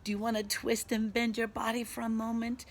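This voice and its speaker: A woman teacher doing an interactive read-aloud for children outdoors, expressive, asking questions and pausing for answers. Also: on the high side. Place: outdoors in a back garden. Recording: faint outdoor background noise.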